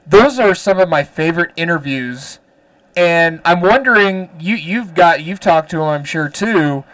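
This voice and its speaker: A man hosting a sports radio show, asking questions in an animated, candid way with stammering restarts. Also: fairly high pitch, a little slow, no room sound, rough recording. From Mexico. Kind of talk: casual sports radio interview.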